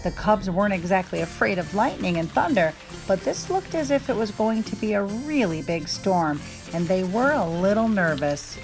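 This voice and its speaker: A woman giving an expressive scripted read-aloud of a children's storybook as narrator. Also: mid-range voice, normal speed, music in the background.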